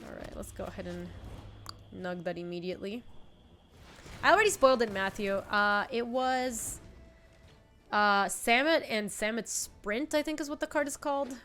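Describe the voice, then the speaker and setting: A woman's voice: mid-range voice, normal speed, long pauses, fairly bright tone, soft background music. Congested young woman streaming a card game. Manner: chatty, thinks aloud, answers chat. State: congested with a cold. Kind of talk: casual live-stream commentary. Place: home streaming room, indoors.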